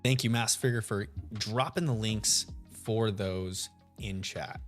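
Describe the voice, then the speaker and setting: A man hosting a live game stream, chatting casually with viewers and greeting them by name. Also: slow pace. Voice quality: deep radio-style voice. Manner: laid-back, chatty, greets chat members by name. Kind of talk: live stream chat while shiny hunting. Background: soft lo-fi music.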